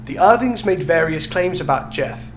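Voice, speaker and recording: A man with a fairly high voice tells a story in casual podcast style. He sounds amused as he explains. There is clear noise and hum behind him. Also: expressive, moderate pace. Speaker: podcast co-host. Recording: clearly noisy recording with hum.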